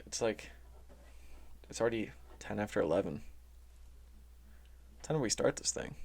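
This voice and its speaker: A man's voice: lowish voice, expressive, moderate pace, gravelly, a little mellow. A young man co-hosting a podcast in casual chat, with a laid-back, slangy way of talking and some swearing. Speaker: podcast co-host.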